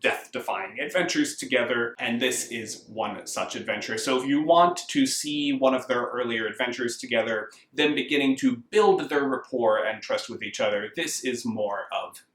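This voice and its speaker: A man's voice: medium pitch, moderate pace, few pauses, a bit bright. American man reviewing in an echoey room. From United States. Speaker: book reviewer. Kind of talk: casual review talk to camera. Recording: roomy, echoing indoor sound.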